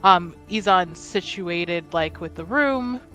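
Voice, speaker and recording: A woman's voice: fairly low pitch, expressive, slow, bright, forceful tone, soft background music, rough recording. A young woman player thinking aloud as she describes her character's actions in a tabletop game, hedging often.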